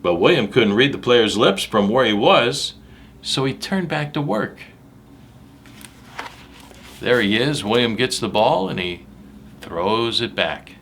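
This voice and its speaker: Animated, bright-voiced male storyteller. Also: mid-range voice, slow pace, pauses often, a little hiss or hum. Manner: dramatic, emphatic, addressing young listeners directly. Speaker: storyteller reading a picture book aloud to children. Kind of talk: animated read-aloud with asides about the pictures.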